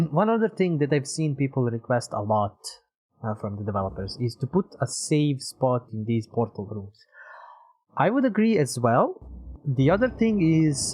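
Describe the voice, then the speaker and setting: A man streams a video game and talks his way through it in a casual voice at an ordinary pitch. He thinks aloud, mutters to himself and swears freely. Music plays clearly behind him. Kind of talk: casual live gameplay commentary.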